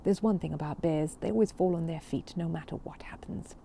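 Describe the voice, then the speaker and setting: A woman's voice: low-pitched, a little fast, vocal fry, dark tone, slight background noise. A woman voicing a dry, matter-of-fact older woman in a children's storybook. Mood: reassuring, dry.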